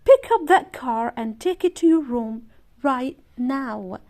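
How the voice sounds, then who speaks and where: A woman's voice: high voice, expressive, slow, dark tone, heavily acted. A woman voicing a firm, caring mother of young children in a storybook, speaking emphatically. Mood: cross.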